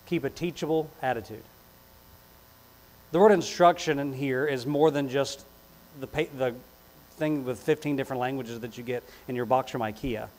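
A man's voice: mid-range voice, moderate pace, a little mellow, rough recording. A middle-aged man preaching a sermon as a pastor, emphatic and repeating key phrases, with conversational asides. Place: indoors on a church platform. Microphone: lapel mic.

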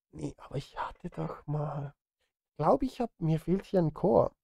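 A middle-aged man streams from his home studio with a mellow, slightly high voice. He thinks aloud in loose, casual chat, muttering and grumbling at himself. The sound is close and dry. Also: animated, takes it slowly, creaky, husky, dry recording. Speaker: streamer and film music composer. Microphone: close studio microphone.

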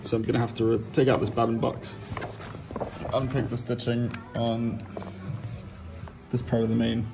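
A man's voice: medium pitch, flat delivery, moderate pace, vocal fry, long pauses, music in the background, low-quality recording. A young man talking casually as a sailor vlogging his preparations. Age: young adult. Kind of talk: casual vlog chat.

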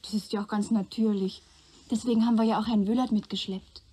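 A woman's voice: medium pitch, steady tone, fast talker, mellow, husky, close to an everyday voice. An actress playing a polite, self-possessed young woman who speaks matter-of-factly in a radio play. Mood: composed, frank. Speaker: actor in a German radio play. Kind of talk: radio drama dialogue.

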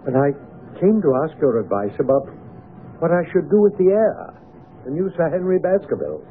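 Anxious, high-pitched country doctor, old radio. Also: male voice, very animated. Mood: uneasy, earnest. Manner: dramatic, halting, formal. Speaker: radio actor. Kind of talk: 1970s radio mystery drama acting. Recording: narrow, noisy old radio broadcast recording.

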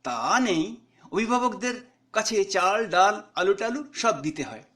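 A man's voice: sits high, lively intonation, slow, pushed and bright. A man reading his own short story in a conversational way, with dialogue.

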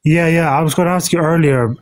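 A man's voice: a little high, fast talker, crowd noise. A man on a live-stream debate, chatting casually in a rambling way and restarting his sentences.

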